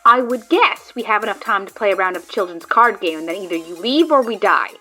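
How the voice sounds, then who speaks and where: A woman's voice: mid-range voice, animated, fairly quick, bright, forceful tone, soft background music. A woman voicing Rosara, a blunt, matter-of-fact young companion. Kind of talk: fan audio drama acting.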